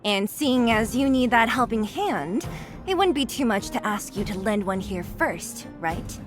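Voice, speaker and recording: A woman voice acting a brisk, blunt young fighter in a video game, curt and no-nonsense. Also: fairly high pitch, lively intonation, over music. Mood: serious, brisk.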